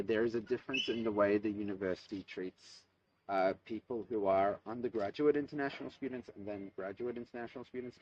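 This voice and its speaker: A man's voice: medium pitch, a little mellow. A young man, answering interview questions about his studies in a thoughtful, hesitant way, with many fillers and restarts. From Australia. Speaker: interview guest, archaeology student. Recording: distant mic, muffled lo-fi sound.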